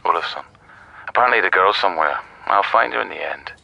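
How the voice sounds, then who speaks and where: Blunt, low, sharp-edged male detective. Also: animated, quick pace, gravelly, heavily acted, rough recording. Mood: matter-of-fact. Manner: blunt, dry, clipped. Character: curt, unhurried, blunt male police detective. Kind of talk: radio drama acting.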